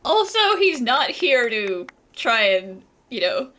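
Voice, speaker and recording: A woman's voice: on the high side, very animated, takes it slowly, pushed and bright, exaggerated voice. A woman voicing a playful, wry young woman in a video game, with a teasing, giggly manner. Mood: amused.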